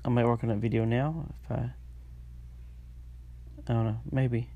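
A man with a stuffy, congested nose, hosting a casual solo diary podcast and talking in a halting way with many fillers and restarts. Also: mid-range voice, little pitch movement, mellow. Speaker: podcast host.

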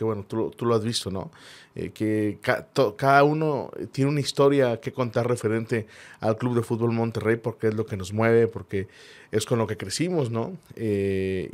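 Northern Mexican male podcast host, mid-pitched. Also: darkish tone. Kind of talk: conversational podcast hosting.

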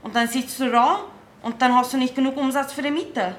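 Bright-voiced young woman. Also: sits high. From South Africa, raised in England. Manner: lively, quick, slightly non-native German. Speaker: band booker and musician. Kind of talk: casual interview chat.

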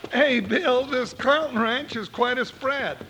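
A man's voice: unhurried, sharp tone, full character voice. A man playing a jovial, fun-loving cowboy deputy sidekick in a western radio drama, with a folksy drawl and Old West slang. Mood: cheerful, curious. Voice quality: high-pitched, folksy voice. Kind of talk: 1950s western radio acting.

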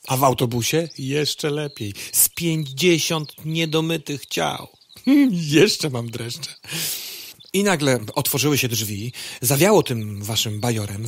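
Man playing an excitable, swooping young female fly. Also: medium pitch, breathy edge, dry recording. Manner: chatty, stammering, exclaiming. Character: young female fly, whiny, impulsive, excitable, easily smitten. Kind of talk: radio play acting.

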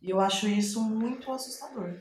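A Brazilian woman, a playwright in a reflective podcast interview, thinking aloud in a halting, thoughtful way. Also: medium pitch. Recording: roomy, echoing sound.

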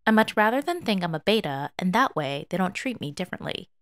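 A flippant teenage boy, played by a woman, brushes questions aside in a breezy way. The delivery swings freely in pitch, in a close, dry audiobook recording. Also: very animated, fast talker, no room sound, lightly acted. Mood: breezy, dismissive. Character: teenage male high school student, flippant and evasive. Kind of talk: audiobook character dialogue.

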